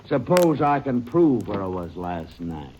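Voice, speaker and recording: A nervous murder suspect, his pitch jumping as he defends himself, hesitating and then rushing. The recording is dull and lo-fi with a faint hiss. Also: sing-song. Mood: defensive. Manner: defensive, hesitant and quick when pressed. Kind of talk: 1940s radio drama acting.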